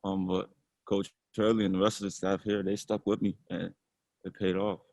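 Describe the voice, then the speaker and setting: Young male athlete, lowish voice, easygoing, restarts his words, dry close sound. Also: normal speed, creaky, no room sound. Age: young adult. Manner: relaxed, repeats words while thinking. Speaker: college basketball player. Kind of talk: casual interview answers.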